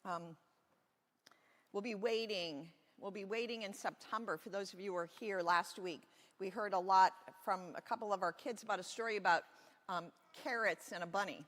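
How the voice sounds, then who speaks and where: A woman pastor telling a Bible story to children in a gentle, expressive storytelling way with deliberate emphasis. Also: medium pitch. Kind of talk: children's sermon, reading a Bible story aloud. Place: large reverberant church space. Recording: echoey hall with long reverb.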